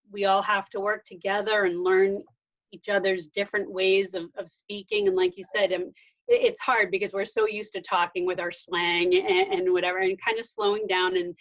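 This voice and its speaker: A woman talking conversationally as a guest in a discussion about her own experience. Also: mid-range voice. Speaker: guest sharing workplace experience. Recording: dull lo-fi sound.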